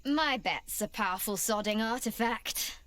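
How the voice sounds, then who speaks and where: A woman's voice: a little high, animated, a little slow, a bit bright. A woman voicing a brash, sarcastic, playful young female mercenary in a video game cutscene.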